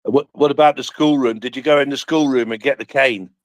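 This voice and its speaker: A man's voice: mid-range voice, steady tone, fairly quick, no room sound. A man with a Midlands accent chatting casually and jokily on a live audio space. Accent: Midlands English. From English Midlands. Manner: chatty, jokey, many fillers. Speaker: panelist.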